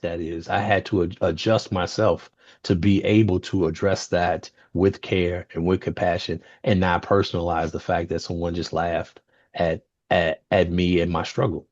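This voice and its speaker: Deep-voiced man moderating a panel. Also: normal speed, dry recording, lo-fi sound. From United States. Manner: relaxed, chatty, with many fillers and restarts. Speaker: roundtable moderator. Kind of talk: panel discussion.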